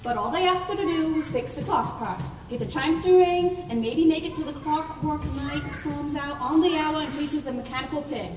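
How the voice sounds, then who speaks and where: A woman's voice: high-pitched, moderate pace, few pauses, close to an everyday voice. A woman playing a sarcastic, exasperated adventuring companion in a comic radio drama, with sardonic emphasis. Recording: echoey hall stage, distant, faint noise.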